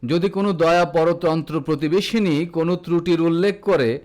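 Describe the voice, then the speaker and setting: Man narrating a story, higher-pitched voice. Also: normal speed, a little mellow. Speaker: audiobook narrator. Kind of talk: scripted literary story narration.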